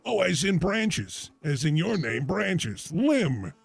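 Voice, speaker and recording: Gravelly guy hosting a call-in show, wry jokey banter, higher voice. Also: sing-song, slow. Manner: joking, wry, laughs easily. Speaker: show host.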